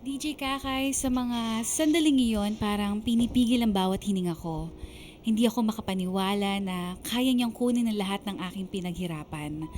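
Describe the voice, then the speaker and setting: A woman's voice: medium pitch, moderate pace, darkish tone, slight background noise, natural voice. A woman playing a hurt but resolute letter-sender, narrating her story in dramatic first-person radio style. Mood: shocked, hurt. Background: background music.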